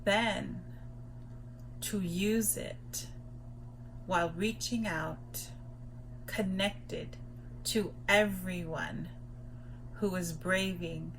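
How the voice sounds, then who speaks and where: A woman's voice: on the low side, fairly even intonation, very slow, long pauses, poor audio. A woman reading a poem aloud solemnly, with a faint hum on the recording. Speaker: poetry reader. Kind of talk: solemn spoken poetry reading.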